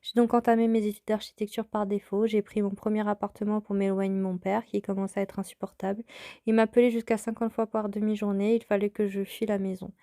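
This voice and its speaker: A woman in her thirties, from France, hosting her own podcast and telling a personal story in a calm, reflective way. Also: medium pitch, quick pace, no echo, clean studio sound. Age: 30s. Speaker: podcast host.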